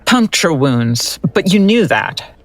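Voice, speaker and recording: A woman's voice: fairly low pitch, very animated, slow, dry recording. A woman playing a skeptical, no-nonsense forensic doctor who gives curt, sardonic replies. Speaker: forensic analyst.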